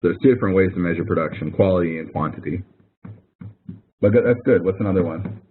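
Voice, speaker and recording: A man's voice: sits low, fast talker, a little hiss or hum. A man working as a college instructor, explaining things conversationally in an informal online webinar with questions and answers. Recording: muffled phone-like webinar audio.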